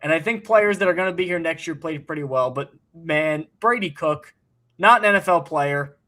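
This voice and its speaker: A man's voice: fairly high pitch, normal speed, bright, forceful tone. A man co-hosting a sports podcast as an analyst, giving blunt, sarcastic takes in casual chat.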